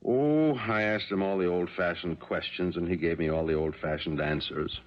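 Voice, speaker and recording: A man's voice: low voice, normal speed, lightly acted. An American man playing a middle-aged father and husband, mild and puzzled, in a 1970s radio drama. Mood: mild, sympathetic. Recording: muffled 1970s radio recording.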